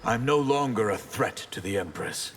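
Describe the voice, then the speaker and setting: An actor playing a proud, grim, disgraced warrior of a fantasy empire in a fighting game, speaking in terse, stern, formal lines. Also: male voice, fairly low pitch, lively intonation, moderate pace. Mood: grim, defiant. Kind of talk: video game fighter intro dialogue. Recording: echoing hall, loud background noise.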